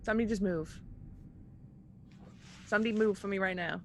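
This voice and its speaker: A woman's voice: mid-range voice, brisk, slight background noise. A woman playing a tabletop roleplaying game, speaking her dialogue in a brusque, bossy manner. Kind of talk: casual in-character game dialogue.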